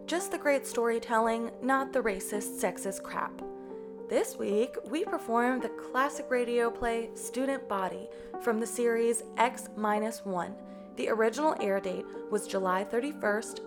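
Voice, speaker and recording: A woman hosting a podcast, reading a scripted introduction to the show. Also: on the high side. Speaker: podcast host. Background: music bed under the voice.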